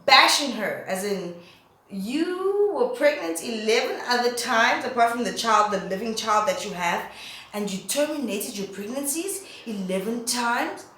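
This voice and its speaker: A woman's voice: fairly high pitch, animated, unhurried, a bit bright, very echoey, rough recording. A woman vlogger, nasal from a cold, giving candid opinionated commentary full of rhetorical questions.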